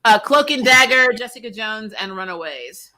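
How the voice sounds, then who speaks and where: A woman hosting a casual fan talk, blunt and emphatic, lightly swearing and quick to laugh. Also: fairly high pitch, animated, a crowd in the background. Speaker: host of a fan review show. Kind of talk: casual chat, answering viewer comments.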